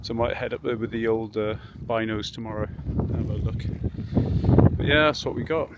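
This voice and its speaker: A man's voice: on the low side, fairly quick, very noisy. A British man talking casually to camera as a vlogger, in a chatty, informal, sweary way. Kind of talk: casual rambling vlog chat.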